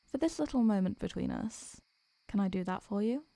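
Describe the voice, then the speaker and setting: An ancient female succubus, acted by a woman, tender and protective in an intimate roleplay. Her soft voice is warm and dark with a creaky edge, recorded very dry. She speaks gently and without hurry, wistful and affectionate. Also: mid-range voice, lively intonation, no room sound. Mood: concerned, caring. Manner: gentle, affectionate, unhurried, murmuring endearments. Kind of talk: intimate soothing roleplay audio, ASMR-like.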